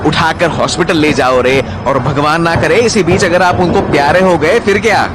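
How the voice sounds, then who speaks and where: Man acting cheeky young hero, higher-pitched. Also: moderate pace, background noise, natural voice. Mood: mocking. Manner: sarcastic, mocking. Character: cheeky, quick-witted young male train passenger hero. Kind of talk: audio drama acting. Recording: loud music bed behind the voice.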